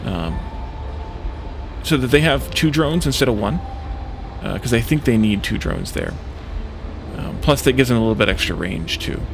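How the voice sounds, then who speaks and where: A man's voice: medium pitch, noisy background. A man giving casual let's play commentary, thinking aloud in a hesitant, self-questioning way.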